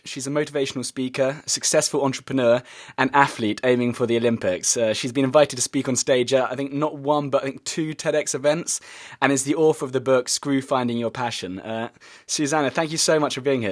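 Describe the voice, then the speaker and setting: A man's voice: mid-range voice, a little fast. Hesitant, rambling male podcast host. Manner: hesitant, rambling, stumbling over sentences; excited. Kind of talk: casual enthusiastic interview.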